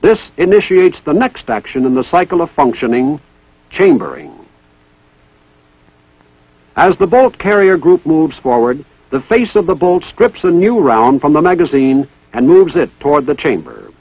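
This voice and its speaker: Training film narrator: male, higher-pitched, formal and methodical, lively intonation, dull old soundtrack. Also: unhurried, breathy edge, no echo. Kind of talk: scripted instructional narration. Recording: dull, band-limited vintage military film soundtrack.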